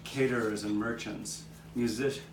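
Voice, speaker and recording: Puppet show role: man as reflective old male street cat telling his past, measured, recorded from afar over clear noise. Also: fairly low pitch, steady tone, natural voice. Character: old male street cat, reminiscing storyteller with nine lives. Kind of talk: scripted puppet show narration. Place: outdoor courtyard. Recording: distant, echoey live taping with background noise.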